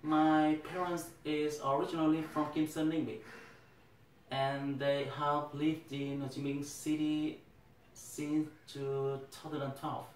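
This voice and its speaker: A young man with a Vietnamese accent speaks in an earnest, deliberate way, as if delivering a prepared first speech. His voice sits a little high for a man. The sound is echoey and a bit distant, with a faint hiss behind it. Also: level tone. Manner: earnest, deliberate, occasional restarts. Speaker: English teacher. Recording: echoey room, mic at a distance.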